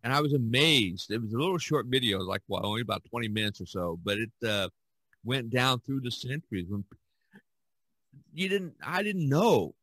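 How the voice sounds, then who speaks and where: A man in his sixties hosting a casual podcast conversation, speaking haltingly and often repeating phrases. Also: mid-range voice, expressive, a bit bright, slightly rough, no echo. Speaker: podcast host.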